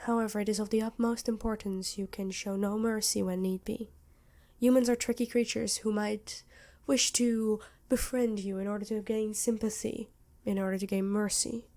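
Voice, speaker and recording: A woman performs a centuries-old vampire queen with a soft, mellow tone. She is regal yet motherly, protective and worried. Her ASMR roleplay speech is formal and tender, set out in measured phrases with pauses. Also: mid-range voice, fairly even intonation, close to an everyday voice. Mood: grave, warning.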